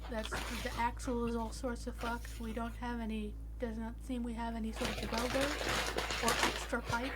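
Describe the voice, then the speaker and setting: A woman's voice: on the high side, little pitch movement, moderate pace, heavy background noise. A woman playing a tabletop game, talking casually and swearing freely as she explains things. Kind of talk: casual improvised game chat.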